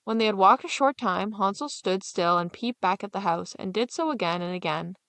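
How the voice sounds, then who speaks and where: A woman's voice: mid-range voice, fairly quick, a bit bright, no echo. A woman storyteller reading a scripted fairy tale as narration.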